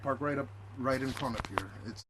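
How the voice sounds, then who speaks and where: A man's voice: medium pitch, normal speed, background noise. A man chatting casually in an easygoing, reassuring and informal way. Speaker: host of a visitor, guest on the stream. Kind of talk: casual chat.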